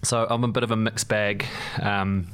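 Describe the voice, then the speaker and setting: A man's voice: fairly low pitch, level tone, normal speed, a bit bright, clean tone. A young man with a New Zealand accent, a podcast guest chatting in a laid-back, rambling way with many ums and easy laughs. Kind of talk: casual conversation.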